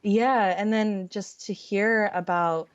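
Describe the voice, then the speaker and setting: A woman's voice: medium pitch, fairly even intonation, slow pace, clear, resonant tone. Californian woman on a dull internet call. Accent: Californian American. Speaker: podcast guest. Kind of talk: casual chat.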